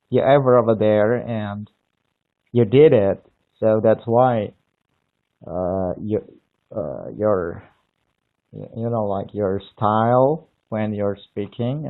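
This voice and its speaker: A man from Indonesia, speaking English with an Indonesian accent in casual chat, halting and restarting often as he searches for words. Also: on the low side, creaky. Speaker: language learner on a live video call. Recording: tinny phone-like line.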